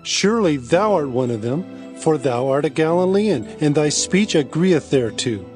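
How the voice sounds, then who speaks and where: A man's voice: a little high, lively intonation, normal speed, a little mellow, faint music behind, natural voice. A man playing a suspicious bystander in a dramatized scripture reading, accusing emphatically.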